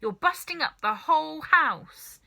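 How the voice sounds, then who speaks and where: A woman voicing an excitable, anxious young boy who exclaims and calls out in a children's storybook. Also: high voice, unhurried, vocal fry, pitched above the performer's own voice, full character voice. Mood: alarmed. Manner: exclaiming, calling out.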